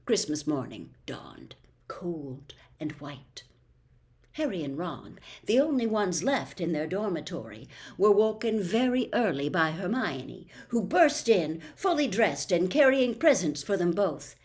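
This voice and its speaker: Lively, lower-pitched woman storyteller. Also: measured pace. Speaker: audiobook reader. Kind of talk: expressive storybook narration.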